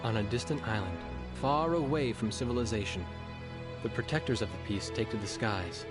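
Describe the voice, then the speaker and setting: A low-voiced man narrates as a war photojournalist played by an actor in a video game story. He tells it in a measured, somber and reflective way, with clear music playing behind him. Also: close to an everyday voice. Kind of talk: scripted cinematic game narration.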